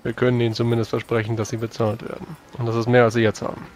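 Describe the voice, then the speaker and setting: A man's voice: mid-range voice, monotone, rapid-fire, lightly acted. A man playing a blunt, impatient commander in a fantasy tabletop roleplay, speaking curtly and giving orders. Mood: firm. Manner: curt, commanding. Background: background music.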